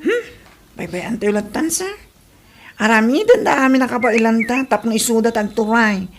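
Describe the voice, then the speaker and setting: A woman's voice: a little high, expressive, moderate pace, a little hiss or hum, natural voice. A woman acting a candidate's loyal aide in an Ilocano comedy radio drama, earnest and questioning.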